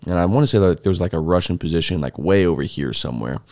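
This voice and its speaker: A man tells his story to a podcast host in a low, deep voice. He rambles loosely, full of fillers and restarts. The studio mic is close and the sound is dry. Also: animated, very fast, darkish tone, no echo. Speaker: interview guest, former foreign volunteer fighter. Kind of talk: casual interview storytelling. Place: small dark podcast studio. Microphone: studio mic on boom arm.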